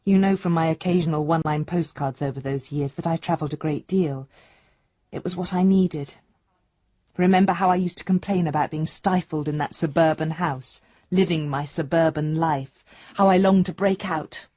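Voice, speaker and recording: A woman's voice: low voice, moderate pace, warm tone, no echo, dull audio. An actress playing a candid, affectionate grown daughter in a radio drama, speaking a letter to her father in an intimate, reflective way. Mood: reminiscing, wry.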